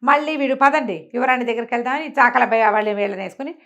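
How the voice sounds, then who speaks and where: A woman telling a folk tale in an animated, conversational way, acting out the characters' exclamations. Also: a little high, racing, vocal fry. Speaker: storyteller. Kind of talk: animated oral folk storytelling to a listener.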